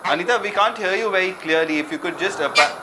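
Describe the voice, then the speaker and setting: A man's voice: high-pitched, fast, heavy background noise. A man moderating a live TV panel, polite and often stammering on restarts. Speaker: TV news anchor.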